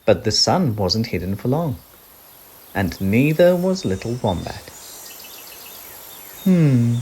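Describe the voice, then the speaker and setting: A man narrating a children's story in an expressive, gentle, deliberate way. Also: fairly low pitch, husky. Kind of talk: children's read-aloud narration. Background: crickets, animal and nature sound effects.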